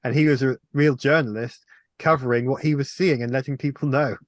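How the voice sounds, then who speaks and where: A man in his thirties explaining something in a casual, halting way during a panel discussion. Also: medium pitch, steady tone, dry recording. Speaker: film review panelist. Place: indoors on a video call.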